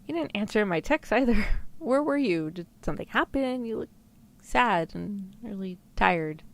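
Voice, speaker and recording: A woman acts out a caring girlfriend in an ASMR roleplay, with lively rises and falls in her voice. She is nurturing and coaxing, with some creak at the edges. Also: medium pitch, measured pace. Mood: worried. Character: tender, worried girlfriend comforting her sad partner. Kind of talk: ASMR roleplay script reading.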